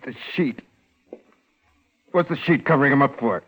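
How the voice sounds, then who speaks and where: An actor playing a wounded, enraged killer with a gravelly, menacing, demanding delivery in a 1950s radio thriller. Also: male voice, medium pitch, normal speed, a bit bright. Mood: menacing, desperate. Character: wounded, enraged killer hunting his victim. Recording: muffled, noisy 1950s radio recording.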